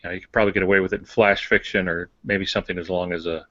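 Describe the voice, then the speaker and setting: Older American male podcast co-host, forceful low-ish voice, casual teaching tone, dull dry recording. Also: little pitch movement, very fast, no echo. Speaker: podcast co-host on fiction writing. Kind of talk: casual instructive discussion.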